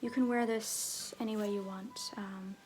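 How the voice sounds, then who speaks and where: A woman playing an easygoing, slyly flirtatious circus fool in an ASMR roleplay, talking softly and hesitantly, often trailing into whispers. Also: mid-range voice, fairly even intonation, moderate pace, a little hiss or hum, lightly acted. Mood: friendly, brisk. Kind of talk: ASMR roleplay, improvised-sounding casual talk.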